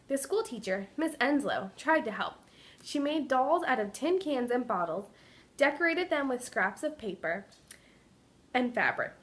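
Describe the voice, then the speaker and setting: Higher-voiced woman reading a story aloud. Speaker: student presenter reading aloud. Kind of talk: dramatic reading of a children's story.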